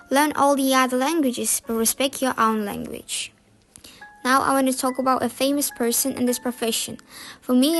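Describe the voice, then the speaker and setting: Kazakh teen girl, school speech, slightly high voice, earnest and recited. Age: teenager. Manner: earnest, recited, occasionally mimics others. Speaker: school student presenter.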